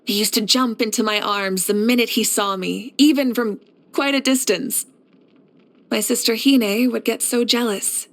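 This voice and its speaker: A composed, assured fire leader. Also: female voice, on the high side. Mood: fond, nostalgic. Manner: assured, measured, encouraging. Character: confident, composed, kindly fire archon war leader. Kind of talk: scripted video game character voice lines.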